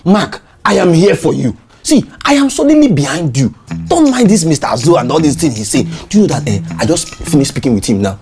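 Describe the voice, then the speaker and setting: A performer playing a scheming, two-faced caretaker with a Nigerian accent, who stammers and coaxes to calm people down. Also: medium pitch, a little fast, soft background music. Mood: conspiratorial. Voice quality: wheedling, stammering, pleading.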